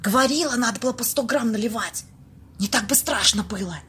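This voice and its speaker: A woman acting a bossy, streetwise young woman in an amateur audio play, husky, slangy and blunt. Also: fairly high pitch, a bit bright. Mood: hushed, nervous. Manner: slangy, blunt, drawn-out exclamations.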